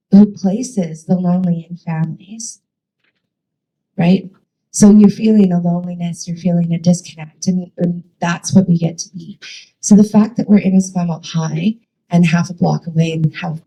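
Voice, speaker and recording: A woman's voice: fairly low pitch, dark tone, breathy edge, low-quality recording. A woman leading youth ministry, giving a chatty, enthusiastic talk to a church with many fillers.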